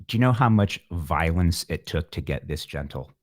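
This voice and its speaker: A man in middle age with a low-pitched voice, speaking as a musician being interviewed. He reflects philosophically and thinks aloud, with a wry streak to his delivery. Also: animated, normal speed. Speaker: musician, podcast guest.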